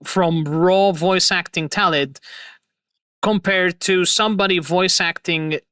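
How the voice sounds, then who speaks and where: A man in his twenties hosting a casual livestream chat, excitable and rambling, laughing often. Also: high-pitched, takes it slowly, clear, resonant tone, no room sound. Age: 20s. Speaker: host.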